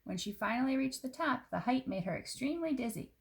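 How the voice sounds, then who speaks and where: Animated woman storyteller with creaky edges. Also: high-pitched, a little fast. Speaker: storyteller reading a picture book. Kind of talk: expressive children's story narration.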